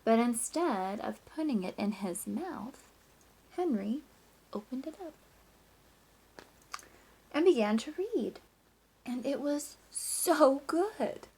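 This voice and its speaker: A woman teacher reading a picture book aloud to children, with expressive emphasis, drawn-out words and hushed asides. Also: high voice, slightly rough, low-quality recording.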